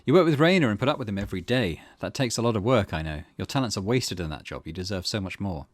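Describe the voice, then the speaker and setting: Guy reading as an aging flirt, needy and pleading, low voice that swoops around, dry sound. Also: animated, fast, no room sound. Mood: coaxing.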